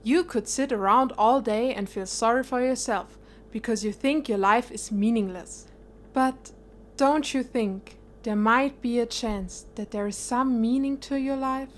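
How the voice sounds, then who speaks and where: A woman playing a gentle but firm mother in an audio drama, reassuring and earnest. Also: on the high side, unhurried, slight background noise, close to an everyday voice. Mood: encouraging. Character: gentle, encouraging, firm middle-aged mother.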